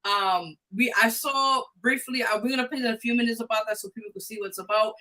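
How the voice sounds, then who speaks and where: A woman's voice: on the high side, quick pace, pushed and bright, poor audio. A woman hosting a live radio show, interviewing in a casual way. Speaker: radio host.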